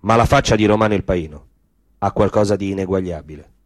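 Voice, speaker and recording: A man's voice: deep voice, fast talker, no room sound. Dry-sounding male theatrical narrator.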